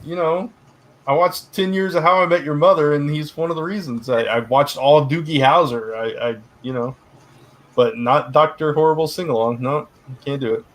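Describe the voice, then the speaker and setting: A man's voice: fairly high pitch, moderate pace, a little hiss or hum. Rambling man chatting on a live stream. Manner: rambling, many fillers and restarts, laughs often. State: getting hoarse. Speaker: live stream co-host, publisher. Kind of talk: casual live stream chat.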